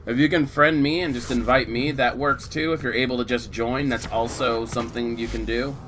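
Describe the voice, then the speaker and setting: A laid-back man streaming a video game, chatting and rambling to his viewers through a desk mic. His voice is getting weak and sits at an ordinary pitch. Faint noise sits in the background. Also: fairly quick, rough recording. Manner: relaxed, rambling, greeting viewers. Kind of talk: casual live-stream chat, reading viewer chat.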